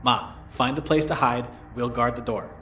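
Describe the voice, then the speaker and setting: An actor plays an urgent, practical man on the run in an audio drama. His mid-pitched voice turns exasperated, and music runs underneath. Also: moderate pace, natural voice. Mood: tense. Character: urgent, exasperated grown son leading a hunted group.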